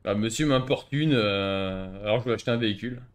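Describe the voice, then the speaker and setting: Casting: male gamer, rapid-fire, blunt and slangy, trading mocking banter in online roleplay. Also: on the low side. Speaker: streamer playing online roleplay game. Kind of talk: casual in-game roleplay banter.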